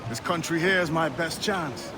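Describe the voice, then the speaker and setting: A man plays a thoughtful, dignified quartermaster in a game, firm of purpose. His voice is fairly high, amid very loud noise. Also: moderate pace, close to an everyday voice. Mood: thoughtful, proud. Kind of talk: video game cutscene acting.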